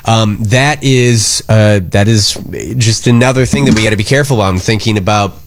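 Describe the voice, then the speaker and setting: A man's voice: lowish voice, moderate pace, slight background noise. An American man hosting a live radio show, talking casually and earnestly in a rambling, concerned way.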